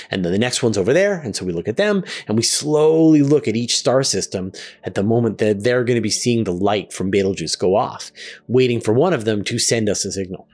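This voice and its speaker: Man, lowish pitch, casual conversational presenter, explanatory science host. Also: darkish tone. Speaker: host of an astronomy Q&A show.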